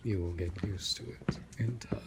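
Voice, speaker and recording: A man's voice: lowish voice, moderate pace, vocal fry. A man playing a courteous, reserved vampire master in an audio roleplay, speaking formally and often dropping toward a whisper. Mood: formal, instructive. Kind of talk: scripted audio roleplay addressed to the listener. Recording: noisy, hissy background.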